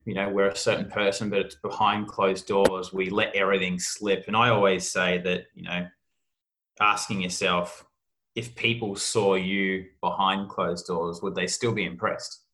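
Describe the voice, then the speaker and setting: A man's voice: low voice, level tone, moderate pace, pushed and bright, low-quality recording. A man with an Australian accent talking casually and informally as a podcast host and coach.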